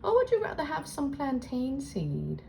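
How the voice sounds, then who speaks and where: A woman voicing a cheerful female storybook frog who waits on customers, with a drawn-out, solicitous sing-song. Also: sits high, huge pitch swings, creaky, darkish tone, slight background noise, pitched above the performer's own voice, exaggerated voice. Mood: cheerful, offering.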